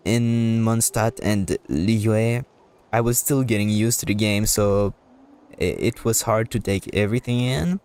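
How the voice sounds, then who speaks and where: A man giving casual live commentary as a game streamer, rambling and halting as he repeats words. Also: fairly low pitch, a little mellow.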